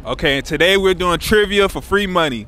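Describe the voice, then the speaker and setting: A man's voice: high voice, lively intonation, fairly quick, pushed and bright, slight background noise. A man hosting a street trivia game, talking in a playful, slangy way and laughing often. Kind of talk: casual hype street hosting. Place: outdoors on a city street.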